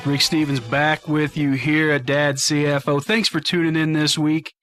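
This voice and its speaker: A middle-aged American man hosting a talk radio show, speaking straight to listeners with deliberate, emphatic phrasing. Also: on the high side, level tone, loud music behind the voice. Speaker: radio show host and financial advisor.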